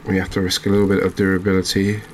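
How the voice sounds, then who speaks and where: A man giving soft-spoken, calm and gentle ASMR commentary over a video game at an unhurried manner. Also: low voice, a little hiss or hum.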